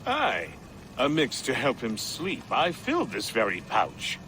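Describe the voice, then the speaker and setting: An actor voicing a credulous, eccentric old herbalist with a mystical, archaic and theatrical way of speaking in a game cutscene. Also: male voice, mid-range voice, wildly swooping pitch, measured pace, noisy background. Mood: delighted, friendly. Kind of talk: video game cutscene acting.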